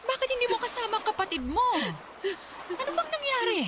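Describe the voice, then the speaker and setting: High, animated, worried mother. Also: brisk, a loud crowd. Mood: shocked, upset. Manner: questioning, scolding yet tender. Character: worried, exasperated but caring mother of a grown daughter. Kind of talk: Tagalog radio drama acting. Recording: clear background noise.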